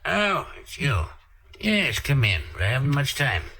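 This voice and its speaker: A man's voice: medium pitch, huge pitch swings, a little slow, background noise. An actor playing a middle-aged professor and father, stern, suspicious and secretive, curt and authoritative, in an old-time radio mystery. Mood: guarded, curt. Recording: vintage radio drama recording.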